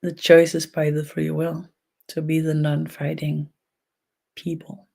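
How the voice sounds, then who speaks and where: Danish accent energy healer doing guided meditation, really slow w long pauses, mellow gravelly voice. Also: medium pitch, completely flat delivery. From Denmark. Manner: halting, with long pauses between short phrases. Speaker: spiritual energy healer leading an online session.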